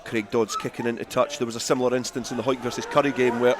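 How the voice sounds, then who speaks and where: A man calls a rugby match live for radio. He is the play-by-play commentator, working outdoors at the ground with a mid-pitched voice. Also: level tone, rapid-fire, crowd noise.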